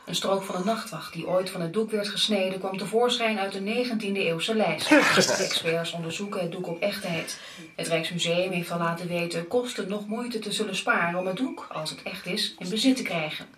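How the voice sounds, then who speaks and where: An actress playing a composed, formal radio news presenter reading a scripted bulletin. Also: female voice, mid-range voice, a little fast, background noise, close to an everyday voice. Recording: echoing, distant radio with music behind.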